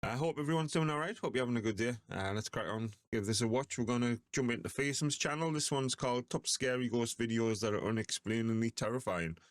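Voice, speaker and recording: An older man with a hoarse voice from a cold, chatting casually as he reacts to ghost videos. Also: mid-range voice, fast, hardly any pauses, no echo, clean studio sound. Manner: chatty, easygoing.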